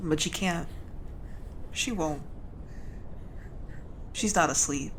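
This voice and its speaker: A woman playing a grieving, solemn speaker at a noble family's funeral in a fantasy audio drama, with measured, halting delivery. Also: sits low, fairly bright tone, a little hiss or hum. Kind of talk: audio drama eulogy with solemn scripture-like reading.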